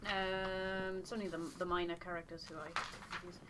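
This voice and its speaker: A woman's voice: lowish voice, little pitch movement, normal speed, noisy background. A woman co-hosting a gaming playthrough in casual chat. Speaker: playthrough co-host and voice reader.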